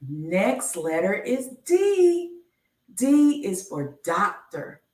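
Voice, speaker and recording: A woman reading a children's picture book aloud on a live stream, enunciating deliberately like a teacher. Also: medium pitch, big pitch swings, darkish tone. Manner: slow, deliberate enunciation with teacherly announcements of each letter. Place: indoor room, live stream. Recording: roomy, echoey, distant microphone.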